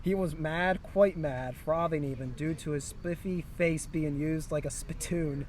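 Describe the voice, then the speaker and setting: Higher-voiced man reading outdoors. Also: fairly even intonation, measured pace, a little mellow. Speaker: co-reader in a story read-along. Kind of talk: humorous story reading with casual asides. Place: outdoors near a historic town site. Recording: outdoor recording with passing vehicle noise.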